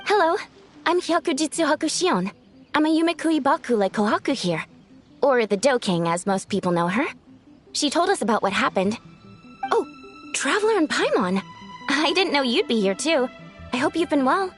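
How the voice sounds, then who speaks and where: A woman voicing a gentle, courteous, slightly flustered young spirit in an anime-style video game. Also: high-pitched, very animated, moderate pace, faint music behind. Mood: friendly greeting. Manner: courteous, hesitant.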